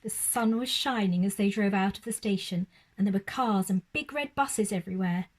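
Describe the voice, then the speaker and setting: A woman's voice: mid-range voice, normal speed, darkish tone. A woman telling a children's story expressively, like a teacher reading aloud.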